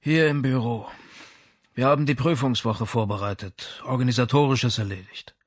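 A man's voice: medium pitch, moderate pace, dry recording, studio quality, natural voice. A man voicing a big, tired, irritable and defensive music professor in a crime audiobook. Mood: weary.

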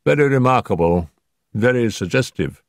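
A man voicing a calm, incisive male detective who speaks in measured, clipped phrases. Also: medium pitch, no echo, clean studio sound, voice pushed up, natural voice.

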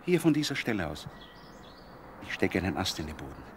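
An actor playing an eager, friendly young prince in a German radio play. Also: male voice, low voice, big pitch swings, brisk, slight background noise.

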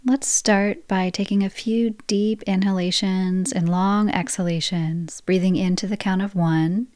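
A woman guiding a yoga nidra relaxation practice, speaking in slow, soft, soothing phrases. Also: mid-range voice, steady tone, no echo, clean studio sound. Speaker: meditation guide.

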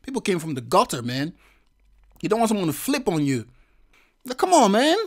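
Male vlogger, reaction commentary, slangy and profane, lively swinging pitch, bursts of laughter. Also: a little fast.

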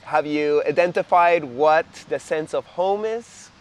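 A man's voice: on the high side, a little slow. A man hosting a casual, conversational interview outdoors. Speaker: interview host. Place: outdoors in a leafy backyard.

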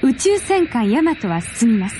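A woman's voice: on the high side, very animated, moderate pace. A woman narrating a 1970s space radio drama in an earnest, suspenseful, dramatic storytelling manner. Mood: solemn, stirring. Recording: very noisy old radio drama recording.

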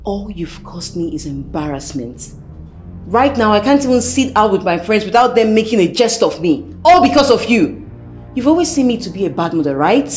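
A young woman acting as a proud, angry wife and mother who talks in a sharp, accusing way. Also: medium pitch, very animated, moderate pace, faint music behind, close to an everyday voice. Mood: bitter. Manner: sharp and accusing, then screams in panic. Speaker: actor.

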